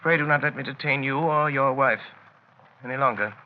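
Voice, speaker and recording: Old radio drama, dull hissy sound, male actor as shrewd gentleman detective, commanding, courteous, formal, mid-pitched. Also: brisk, close to an everyday voice. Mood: dryly polite. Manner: formal, precise, dryly polite. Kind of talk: 1930s radio drama acting.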